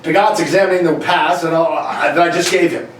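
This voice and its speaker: A man's voice: a little high, fairly even intonation, fairly quick, natural voice. Very echoey, blustering police inspector acted by a man. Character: blustering, bossy older male police inspector, a father. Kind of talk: amateur comedic radio play reading. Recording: very echoey room, distant mic, faint noise.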